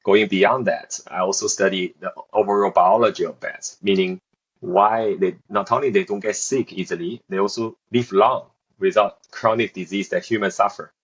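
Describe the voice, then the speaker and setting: A man's voice: on the low side, fairly even intonation, normal speed, breathy edge, muffled recording. A man in his thirties, from South Korea and raised in Beijing, answering interview questions as a researcher, hesitant with many fillers and restarts. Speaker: researcher, assistant professor.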